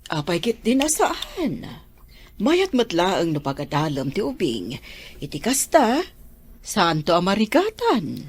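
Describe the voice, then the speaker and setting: Husky, animated madam of the house, acted by a woman. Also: medium pitch, slow, heavily acted. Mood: brisk, helpful. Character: kindly but commanding lady of the house, an employer. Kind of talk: radio drama acting in dialogue.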